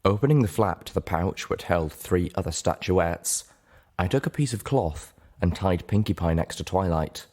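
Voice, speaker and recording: A man's voice: sits low, animated, normal speed, darkish tone. A man giving a dramatic scripted narration of a story as an audiobook reader.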